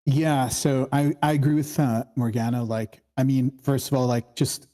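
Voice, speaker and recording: A man's voice: mid-range voice, level tone, moderate pace, warm tone. A man giving feedback in casual, informal chat. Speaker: participant giving script feedback.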